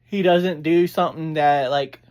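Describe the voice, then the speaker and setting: A reviewer, chatting casually in a halting, digressive way as host of a review. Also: mid-range voice, fairly even intonation, a little mellow. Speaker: audio drama reviewer, host. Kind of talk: casual rambling review chat.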